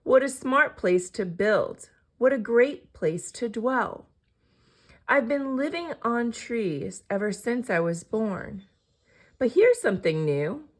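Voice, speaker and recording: A woman's voice: a little high, animated, slow. A woman voicing a cheeky, self-satisfied little male bird in a children's storybook. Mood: delighted.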